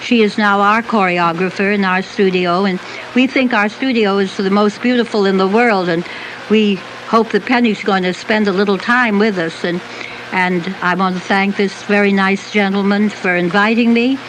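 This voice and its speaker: A woman's voice: medium pitch, steady tone, normal speed, slight background noise, rough recording. An older woman, a dance teacher, speaking as a radio guest in a florid, reverent, speechlike manner. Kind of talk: formal radio interview remarks. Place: radio studio. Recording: 1983 radio taped on cheap cassette.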